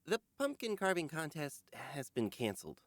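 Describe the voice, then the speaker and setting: An earnest male schoolteacher in a game, with a fairly high voice. He is ponderous and dramatic, pausing carefully, his pitch moving widely. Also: wildly swooping pitch, no echo. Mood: grave. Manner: measured, dramatic pauses.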